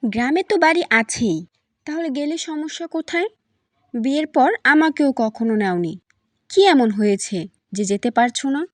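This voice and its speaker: A woman playing a caring cartoon mother with a high, soothing voice that gently coaxes. The recording is dry and close, with no room sound. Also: expressive, moderate pace, no room sound. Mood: concerned. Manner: soothing, coaxing. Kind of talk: cartoon voice acting.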